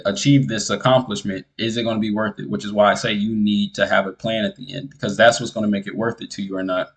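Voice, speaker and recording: Earnest low-voiced man giving advice. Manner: earnest, repetitive, speaks straight to the viewer. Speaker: music producer giving advice. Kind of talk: casual advice vlog.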